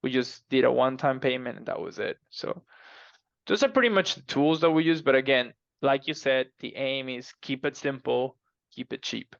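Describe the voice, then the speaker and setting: A man speaking as an interview guest in casual, halting conversation, repeating words as he explains. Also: mid-range voice, gravelly, dry recording, lo-fi sound. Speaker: podcast guest, fiction co-author.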